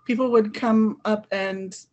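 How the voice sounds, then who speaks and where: A woman talks through her ideas slowly and carefully, stopping often with soft fillers as she searches for words. Her pitch is mid-range, and her tone stays conversational and reflective. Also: steady tone, lo-fi sound. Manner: thoughtful, hesitant with many fillers. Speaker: panel guest. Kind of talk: conversational discussion.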